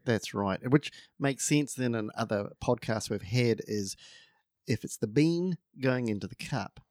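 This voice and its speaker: New Zealand-accented man, mid-pitched, dry close mic, podcast co-host who explains things. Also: expressive, unhurried, a little mellow, no echo. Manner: explanatory, confident, restarts mid-sentence. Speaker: coffee expert co-host. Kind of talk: casual podcast chat.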